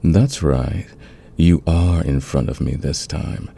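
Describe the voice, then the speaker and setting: A man playing a smug, flirtatious fantasy villain in a romantic ASMR roleplay, teasing and intimate with a husky, gravelly voice. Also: rumbling low, dark tone, a little hiss or hum, full character voice. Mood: confiding, smitten. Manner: teasing, intimate, drawn-out confiding delivery. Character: flirtatious, smug male fantasy villain, secretly smitten rival.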